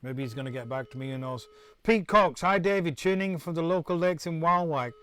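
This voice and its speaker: A man's voice: a little high, brisk, a bit bright, clean tone. A middle-aged man from the UK, chatting casually to viewers on a live stream, rambling and restarting often. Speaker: musician hosting a live stream.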